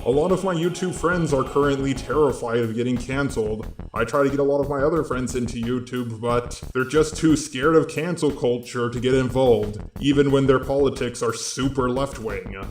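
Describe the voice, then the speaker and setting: A man's voice: mid-range voice, moderate pace, few pauses. Robot voice guy doing scripted commentary, echoey, bit of music behind. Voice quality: synthetic robot voice. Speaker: host of a video commentary channel. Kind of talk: scripted video essay commentary. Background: faint background music.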